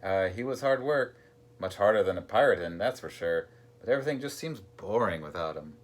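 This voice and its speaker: A man voicing a hapless, complaining pirate lookout who yells and sighs in a storybook. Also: lowish voice, animated, a little fast. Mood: glum. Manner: yelling and sighing.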